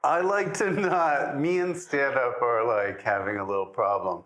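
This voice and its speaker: A man's voice: mid-range voice, unhurried, a bit bright. A man hosting a podcast, chatting in a playful, jokey way. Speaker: podcast host. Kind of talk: casual podcast chat. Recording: roomy echo.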